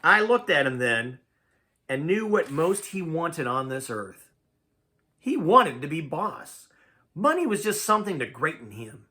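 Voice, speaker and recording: A man's voice: on the high side, big pitch swings, measured pace, pushed and bright. A man reading a first-person tale aloud in a dramatic, folksy storytelling manner. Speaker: amateur audiobook reader.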